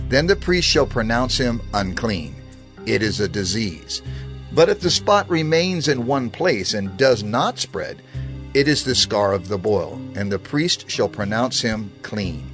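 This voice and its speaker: A man's voice: mid-range voice, fairly bright tone. Solemn man reading scripture over music. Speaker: Bible narrator.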